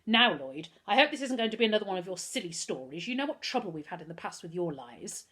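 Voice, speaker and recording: A woman voicing a stern, fussy mother in a children's story who scolds briskly. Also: lowish voice, big pitch swings, fairly bright tone, slightly rough, exaggerated voice. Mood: scolding.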